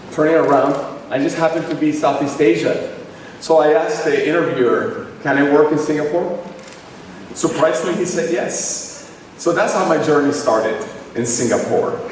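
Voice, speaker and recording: A man with a Venezuelan accent, likely in his forties, giving a formal commencement address in measured, phrase-by-phrase delivery. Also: on the high side, slight background noise. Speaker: commencement speaker. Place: large ceremony hall. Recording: echoey hall recorded from far off.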